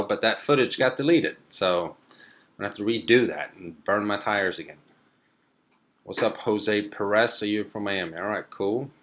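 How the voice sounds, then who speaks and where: A young man from Sweden with a lowish voice, chatting on a live stream. He reads out viewer comments and answers them in an easygoing, meandering way, stopping for plenty of ums and uhs. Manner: relaxed and rambling, many fillers. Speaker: car vlogger hosting a live stream.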